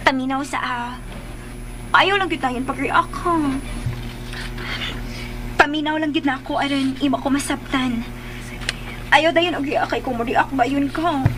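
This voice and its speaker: Very high-voiced anxious sweetheart. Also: female voice, measured pace, pauses often, background noise. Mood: nervous pleading. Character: anxious, pleading young sweetheart confessing to her love. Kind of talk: Filipino radio drama acting.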